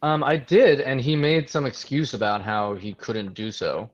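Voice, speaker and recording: A man's voice: medium pitch, fairly even intonation, measured pace, highs cut off. A man from the New York area, a guest recounting a job interview in a casual, conversational way with frequent fillers and restarts. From New York area, USA. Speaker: interview guest, tech hiring manager.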